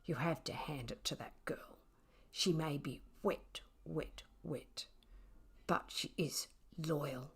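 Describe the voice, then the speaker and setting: A woman voicing a smug, defiant male pet cat who makes indignant, mock-innocent excuses in an expressive children's storybook reading. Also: low voice, takes it slowly, breathy edge, voice pushed down, full character voice. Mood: self-satisfied.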